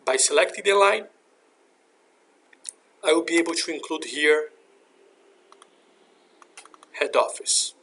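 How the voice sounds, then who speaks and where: Higher-pitched man narrating a software tutorial. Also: slow, pauses often. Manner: step-by-step, explanatory. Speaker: software instructor.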